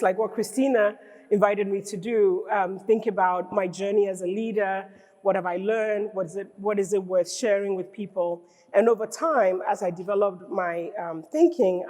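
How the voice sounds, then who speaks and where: A woman's voice: medium pitch, warm tone. A middle-aged woman from Ghana giving a conference keynote talk, thoughtful and restarting phrases as she gathers her thoughts. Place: large conference hall. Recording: long hall reverb from the stage.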